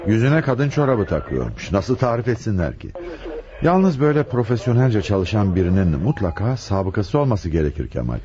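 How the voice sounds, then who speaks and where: Low, mellow police chief inspector. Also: male voice, big pitch swings, normal speed. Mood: pondering. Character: grave, determined male police chief inspector. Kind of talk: radio play acting. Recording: muffled old radio drama, faint noise.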